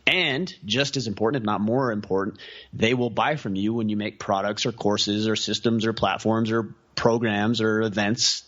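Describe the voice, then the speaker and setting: A man with a fairly low voice speaks earnestly in a relaxed interview, stacking example after example as he explains himself. The audio sounds dull and lo-fi, with the top end muffled. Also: moderate pace. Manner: earnest, piles up lists of examples. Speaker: podcast guest, community founder. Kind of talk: casual interview talk.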